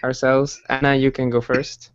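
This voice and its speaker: A man in his twenties from Belgium hosting a casual group livestream, relaxed and halting with many fillers and soft laughs. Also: medium pitch, clean tone, highs cut off. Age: 20s. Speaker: livestream host.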